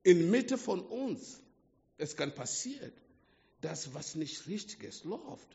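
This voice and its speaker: Man, non-native German accent, emphatic sermon, unhurried delivery, lo-fi reverberant hall. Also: high-pitched, very animated, gravelly. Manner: slow, emphatic preaching with swings between hushed and loud. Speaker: preacher. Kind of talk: sermon to a small congregation. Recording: dull lo-fi sound with long hall reverb.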